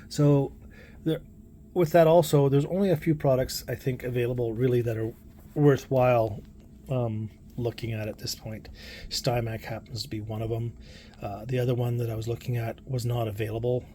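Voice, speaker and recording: A man with a dark, relaxed voice at a medium pitch talks off the cuff as he reviews a product. He is plainspoken and a little halting, working out his thoughts aloud. Faint room noise sits behind him. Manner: hesitant, plainspoken, thinking aloud. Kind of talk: casual unscripted product review talk.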